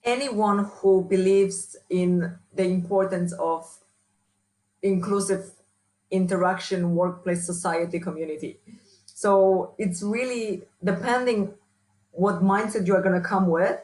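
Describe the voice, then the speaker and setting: A woman with a strong Polish accent answering interview questions as a researcher and trainer, often restarting and filling pauses. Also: mid-range voice, fairly even intonation, a little mellow, poor audio. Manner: hesitant, many restarts and fillers. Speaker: workshop speaker, researcher.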